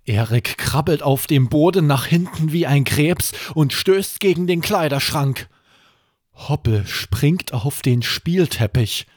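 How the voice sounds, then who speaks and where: A man hosting and narrating a scripted children's bedtime story, measured and suspenseful, with deliberate pauses. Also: on the high side, animated, dry recording, studio quality. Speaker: podcast host and storyteller.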